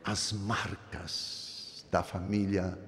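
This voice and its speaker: A man in his seventies preaching a sermon in a church. He is emphatic, swinging from low, soft phrases to high, ringing exclamations, and speaks slowly and deliberately. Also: little pitch movement, a little hiss or hum. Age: 70s. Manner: slow, deliberate delivery. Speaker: pastor. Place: church sanctuary. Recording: reverberant church hall.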